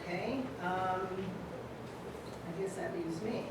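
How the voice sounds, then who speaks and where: A woman chairs a township council meeting, moving through agenda items in a brisk, matter-of-fact way. Her voice sits slightly low. She is picked up from afar in a very echoey chamber with steady hiss behind her. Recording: echoey distant room mic with background hiss.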